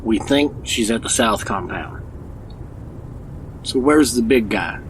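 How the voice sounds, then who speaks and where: A man's voice: on the low side, expressive, takes it slowly, slight background noise, poor audio. A man playing a grim, matter-of-fact ally who plans an ambush.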